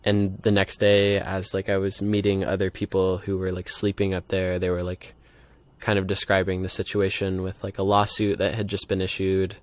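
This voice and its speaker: A man from the American Midwest giving casual interview answers as an activist, halting and full of filler words. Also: low-pitched, completely flat delivery, dry recording. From Midwestern United States.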